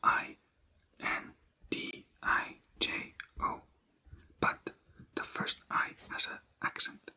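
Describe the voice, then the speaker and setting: A language teacher giving an ASMR vocabulary lesson in a breathy whisper, repeating words and spelling them out letter by letter. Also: slow, pushed and bright.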